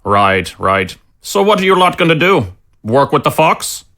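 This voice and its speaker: Guy doing a stubborn tavern keeper in an audio drama, folksy and blustery, sharp voice, really lively pitch. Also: a little slow, exaggerated voice. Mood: indignant. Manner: blustery, colloquial. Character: Artag, feisty proud innkeeper, stubborn. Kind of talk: scripted audio drama acting.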